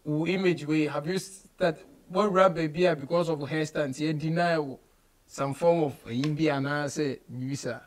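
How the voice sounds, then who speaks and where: A Ghanaian man with a high-pitched voice and a Ghanaian accent hosts a relaxed interview. He moves freely between Twi and English in a casual, conversational style. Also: normal speed. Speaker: radio show host. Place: small studio office, indoors.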